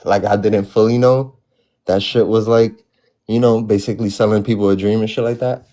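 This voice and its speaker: A man's voice: on the low side, steady tone, fairly quick, dark tone. A man in his twenties calling in to a livestream, laid-back and slangy, cursing casually. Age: 20s. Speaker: caller. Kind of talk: casual chat.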